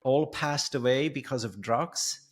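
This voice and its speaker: Halting man telling his story. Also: mid-range voice. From Switzerland. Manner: halting, many restarts and fillers, laughs while telling stories. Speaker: guest, entrepreneur and coach. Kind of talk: storytelling in an interview. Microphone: studio mic on a stand, video call.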